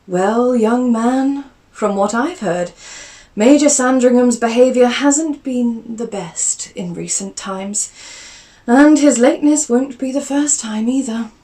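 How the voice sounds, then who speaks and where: A woman's voice: a little high, slow pace, mellow, far from the mic, pitched above the performer's own voice. A woman voicing a genteel aristocratic aunt who is fond and teasing, speaking in a prim, emphatic way and recorded a little off-mic. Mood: concerned.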